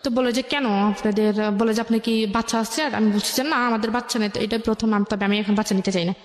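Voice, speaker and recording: Fast-talking young Bangladeshi woman, echoey. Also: fairly high pitch, steady tone. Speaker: guest telling her life story. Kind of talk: personal storytelling in an interview. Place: echoey indoor radio studio. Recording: echoey room with long reverb.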